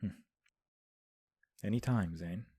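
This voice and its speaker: An actor playing a composed young male prince who gives counsel in a measured, formal way, recorded at home. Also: lowish voice, warm tone, husky, dry recording. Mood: calm. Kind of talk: audio drama acting.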